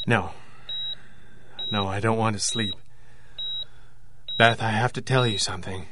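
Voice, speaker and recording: An actor playing an anxious, obsessive loner inventor in an audio drama, speaking in a halting, self-doubting, agitated way. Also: male voice, fairly low pitch, steady tone, noisy background, close to an everyday voice. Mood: weak, urgent. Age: 50s.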